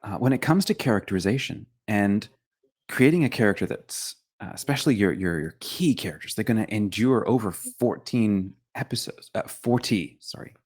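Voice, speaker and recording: A man hosting a casual interview, thinking aloud as he works his way through curious, halting questions. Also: lowish voice, animated, darkish tone, slightly rough. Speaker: interviewer, host.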